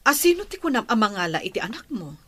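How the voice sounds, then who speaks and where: An actress playing a caring, take-charge medical professional in an Ilocano radio drama, firm and reassuring. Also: female voice, fairly high pitch, sing-song, fast talker, fairly bright tone, slightly rough, full character voice. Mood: probing.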